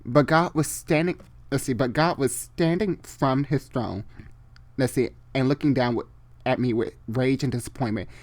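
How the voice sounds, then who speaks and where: A mid-pitched host reads a story dramatically. The delivery stumbles over the text and keeps veering into ranting asides, exasperated and full of swearing. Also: moderate pace, darkish tone. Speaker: host doing a dramatic reading.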